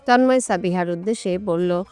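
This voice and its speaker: A woman's voice: fairly low pitch, moderate pace, darkish tone, clear, resonant tone, faint music behind. A woman reading a scripted romantic story as its narrator.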